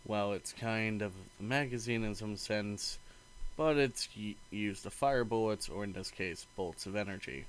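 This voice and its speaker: A man's voice: fairly low pitch, fairly bright tone, low-quality recording. A man reading a visual novel aloud in a let's play, narrating the story and acting out the dialogue expressively.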